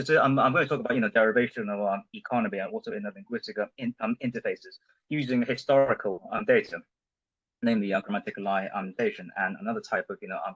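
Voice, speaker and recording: A man whose first language is Chinese, giving an academic conference talk over a video call, halting and filling pauses with lots of "um" and "you know". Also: on the low side, fairly bright tone, husky, no echo, highs cut off. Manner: halting, heavy with fillers. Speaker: conference presenter. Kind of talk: academic lecture.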